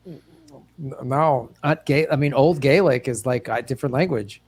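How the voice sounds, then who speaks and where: A man's voice: medium pitch, normal speed, slightly rough. An American man, a comedian guest, chatting loosely in an interview, laughing easily and using many fillers. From United States. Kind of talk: casual interview chat.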